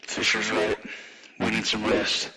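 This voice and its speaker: A man playing a calm, serious veteran operative in an audio drama, explaining things in a measured way. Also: background noise, lightly acted. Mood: calm, grave. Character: calm, grave veteran male metahuman operative.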